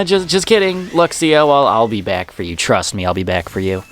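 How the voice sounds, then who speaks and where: A man's voice: mid-range voice, normal speed, faint music behind. A man hosting a let's play, chatting and reacting aloud to a game while slightly sick, his voice a bit off. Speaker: gaming channel host.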